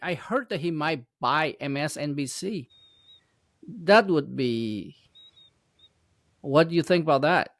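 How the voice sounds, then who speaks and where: A man's voice: fairly high pitch, expressive, measured pace, long pauses, dry recording, studio quality. A man hosting an interview show, asking questions with frequent stumbles and self-corrections. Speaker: host. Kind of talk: casual interview.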